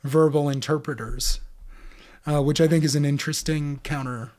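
A man with a slightly raised voice talks through ideas in an interview. His delivery is unhurried and thoughtful, full of ums and uhs, and he builds his points as he goes. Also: on the high side, fairly even intonation, a little mellow. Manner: thoughtful, explanatory, with many fillers. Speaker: author being interviewed. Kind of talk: academic conversation.

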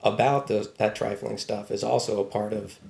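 A man's voice: lowish voice, fairly even intonation, moderate pace, gravelly, a little mellow, echoey room. A man from Baltimore, talking casually and thoughtfully as an interview guest, with a wry tone and many fillers. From Baltimore, USA. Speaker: news writer, guest.

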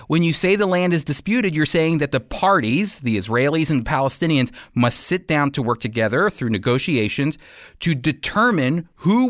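A man's voice: fairly high pitch, no echo, clean studio sound. A man delivering scripted radio commentary, speaking directly to listeners in an emphatic, persuasive manner. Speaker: host and Bible teacher giving commentary.

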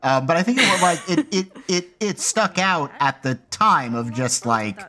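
A man chatting casually on a commentary track in a loose, halting way. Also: fairly high pitch, lively intonation, pushed and bright.

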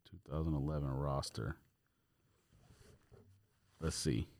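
A man's voice: a bass voice, slow, a little mellow, no echo. A man co-hosting a podcast, explaining his points in casual, informal sports conversation. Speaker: podcast co-host.

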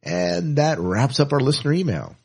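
A man's voice: on the high side, sing-song, normal speed, creaky, breathy edge. A man co-hosting a podcast in casual, conversational chat, often repeating phrases for emphasis. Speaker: podcast co-host.

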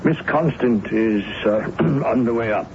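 A man playing a timid, deferential manservant who speaks haltingly and very politely in a radio play. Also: mid-range voice, close to an everyday voice. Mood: nervous, deferential. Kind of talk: 1970s radio drama acting. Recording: muffled, noisy radio recording.

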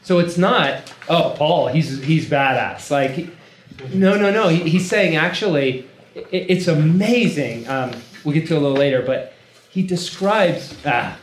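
A man gives an informal sermon to a gathering, conversational but emphatic, often repeating a phrase for weight. His voice sits a little high for a man. The recording is roomy and echoey, with faint noise behind him. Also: animated, measured pace. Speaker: preacher leading a teaching session. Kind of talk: informal sermon to a live group. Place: room, speaking live to a gathering. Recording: echoey room with background noise.

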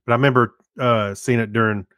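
A man's voice: mid-range voice, steady tone, fast, fairly bright tone, dry recording. A man co-hosting a podcast, chatting in an easygoing, informal way. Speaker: podcast co-host. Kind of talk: casual chat.

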